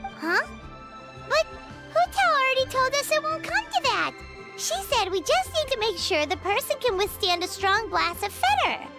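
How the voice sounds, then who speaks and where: A woman voicing a small, eager fairy companion in a video game, with a high squeaky voice and an excitable, curious manner. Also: full character voice. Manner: excitable and curious, quick to exclaim. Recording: game cutscene with music behind.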